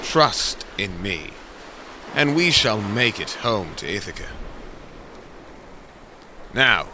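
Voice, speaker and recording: A commanding Greek captain-king, acted by a man, speaks in a lowish, deliberate voice. He is defiant and resolute, declaiming as in an epic audio drama. Loud sea and storm effects surround him. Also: noisy background, exaggerated voice.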